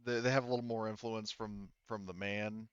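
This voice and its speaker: Man playing a low-voiced, hedging female engineering worker. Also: fairly even intonation, clear, resonant tone, no echo, lo-fi sound, lightly acted. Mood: candid, unsure. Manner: hedging, halting, informal.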